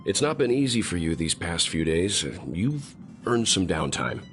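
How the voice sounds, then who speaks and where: An actor playing a calm, seasoned male traveler who speaks steady, measured praise to a younger companion in a game. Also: low-pitched, faint music behind. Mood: encouraging. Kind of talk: game voice acting.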